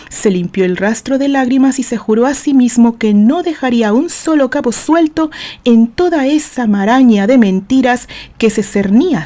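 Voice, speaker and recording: A woman with a Mexican accent narrating a story in an expressive, deliberate, theatrical way, like a dramatic audiobook. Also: mid-range voice. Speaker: author reading fiction aloud for own channel.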